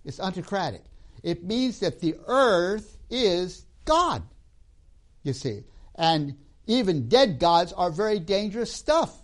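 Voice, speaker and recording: A man's voice: high voice, very animated, slow pace. A man who grew up in Tanzania giving a storytelling lecture on religion and myth, often ending points with a tag question.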